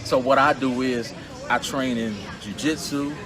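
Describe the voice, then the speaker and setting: A man, a fighter, talking casually in an interview and explaining his training in a relaxed, street-casual way. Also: medium pitch, measured pace, a crowd in the background. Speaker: MMA fighter guest.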